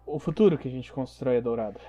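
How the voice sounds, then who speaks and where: A man playing a measured, earnest secret agent. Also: medium pitch, mellow, lo-fi sound, soft background music, lightly acted. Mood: solemn. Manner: measured, formal, reassuring. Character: calm, earnest male agent of a secret organization.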